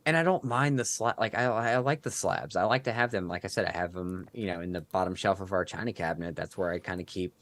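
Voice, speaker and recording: A man's voice: low-pitched, fast talker, few pauses, a bit bright. Nasal-voiced man, casual and emphatic. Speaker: guest content creator. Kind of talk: casual podcast interview.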